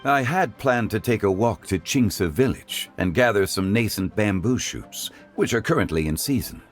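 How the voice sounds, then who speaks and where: Casting a man for a refined game gentleman: lowish voice, erudite, courteous, expressive melody, light music behind. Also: close to an everyday voice. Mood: composed. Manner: formal, eloquent, measured phrasing. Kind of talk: video game voice acting.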